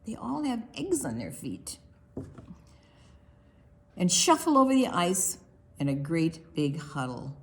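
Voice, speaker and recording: A woman reading a picture book aloud for preschoolers, expressively and deliberately, with quiet asides to the young listeners. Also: on the low side, very animated, slight background noise. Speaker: children's librarian hosting online storytime.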